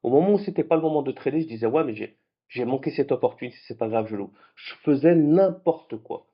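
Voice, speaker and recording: A man whose first languages are French and Arabic, hosting a late-night trading vlog in a lively, conversational way. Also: mid-range voice, fairly quick, breathy edge, muffled recording. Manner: animated, conversational, with restarts. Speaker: trading channel host.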